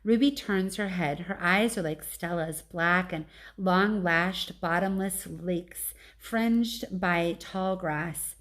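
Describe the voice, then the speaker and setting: A woman's voice: fairly low pitch, slow, few pauses, a bit bright. A woman reading a children's storybook aloud as a teacher, narrating chapter text with brief asides.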